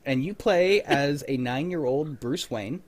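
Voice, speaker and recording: A man's voice: fairly high pitch, measured pace. A man hosting a casual livestream chat in a wry, teasing way, with some mild swearing. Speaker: host of a livestream.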